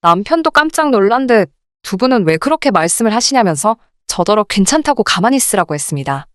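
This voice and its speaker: A woman's voice: medium pitch, no echo, studio quality. A woman reading a scripted first-person story as a radio drama narrator.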